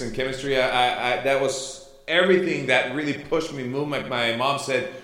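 A middle-aged man from Chile with a Chilean Spanish accent, a native Spanish speaker, giving an informal sermon and testimony as a missionary pastor, halting and correcting himself as he goes. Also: mid-range voice, bright, forceful tone. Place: church hall during a service. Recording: echoey room sound.

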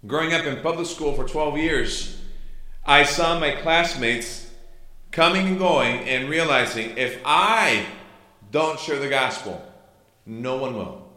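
Missionary pastor, male, Chilean Spanish accent, native Spanish speaker. Bright, forward voice, informal testimony to a congregation, reverberant church room. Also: fairly high pitch, animated, slow pace. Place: church hall during a service. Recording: echoey room sound.

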